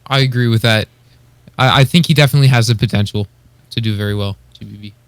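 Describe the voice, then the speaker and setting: A man's voice: fairly low pitch, fast, no echo. A man co-hosting a podcast, chatting casually in a tentative, hedging way. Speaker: podcast co-host. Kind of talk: casual chat about beatbox competitors.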